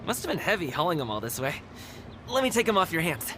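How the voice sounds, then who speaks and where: A bright, cheery young guard. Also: male voice, on the high side, sing-song, fast talker, slight background noise. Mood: friendly. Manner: warm, easygoing, laughs often. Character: cheerful young male guard, friendly and generous. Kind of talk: video game voice acting. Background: game music.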